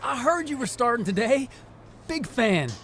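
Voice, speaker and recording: A man playing an eager, talkative young American rookie wrestler in a video game cutscene, chatty and excitable. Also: very high-pitched, normal speed, a little hiss or hum, heavily acted. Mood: excited, chatty.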